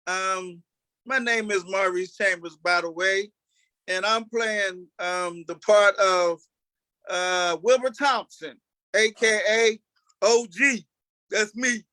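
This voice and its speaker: A man chatting casually as an actor being interviewed, halting and often restarting his phrases. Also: high-pitched, sharp tone. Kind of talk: casual chat.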